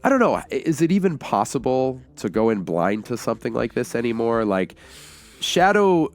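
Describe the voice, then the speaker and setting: A man co-hosting a casual film review podcast, talking like an excitable fan with plenty of slang. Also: mid-range voice, normal speed. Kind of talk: casual enthusiastic film discussion.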